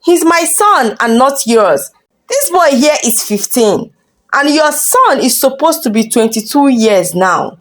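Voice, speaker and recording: A woman's voice: high voice, very animated, a little slow. A woman storyteller voicing a wronged, resolute young mother, wounded and bitter, with pointed dramatic delivery in a folktale. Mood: bitter, grieving.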